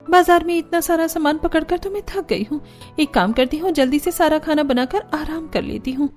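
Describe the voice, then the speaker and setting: A very high-pitched young daughter-in-law from a cartoon family drama, acted by a woman. She is earnest and overburdened, speaking politely with a plaintive edge and always eager to please. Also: a little fast, a little mellow, soft background music, natural voice. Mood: plaintive, weary.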